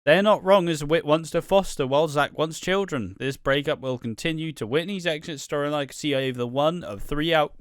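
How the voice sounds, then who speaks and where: A man from England near the Welsh border co-hosting a casual podcast, relaxed, laughing often and trailing off mid-sentence. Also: on the high side, smooth, no echo, studio quality. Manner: laid-back, laughs often, trails off and restarts. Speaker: podcast co-host.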